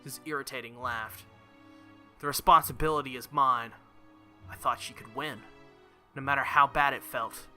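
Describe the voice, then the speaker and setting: Bright-voiced man reading a visual novel. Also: mid-range voice, steady tone, moderate pace, long pauses, soft background music. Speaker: let's play video host.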